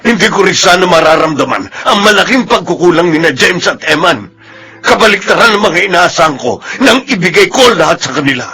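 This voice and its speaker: A man's voice: high-pitched, animated, brisk, sharp tone, slightly rough, heavily acted. A man playing a bitter, stubborn ageing father in a Filipino radio drama, speaking with reproachful emphasis. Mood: resentful. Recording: noisy radio broadcast with background ambience.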